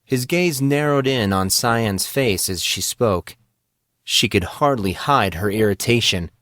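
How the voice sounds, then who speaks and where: A man's voice: lowish voice, expressive, unhurried, a bit bright, no echo, clean studio sound. A man reading scripted fantasy fiction aloud as an audiobook narrator.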